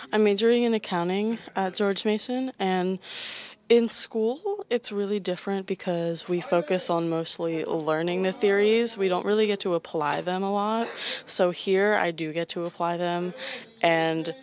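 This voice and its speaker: A woman in her twenties, a student volunteer, talking in an on-camera interview. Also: fairly low pitch. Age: 20s. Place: indoor community center. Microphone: handheld interview microphone.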